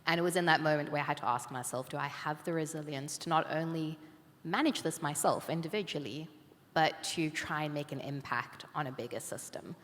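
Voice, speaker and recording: A woman teacher speaking on a panel, telling a personal story in a reflective, measured way. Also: deep voice, a bit bright. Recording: long reverb of a conference hall.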